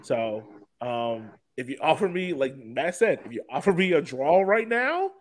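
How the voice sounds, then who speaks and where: A man's voice: fairly high pitch, sing-song, unhurried. A man hosting a casual sports talk show, chatting in an informal, joking way. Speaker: podcast host.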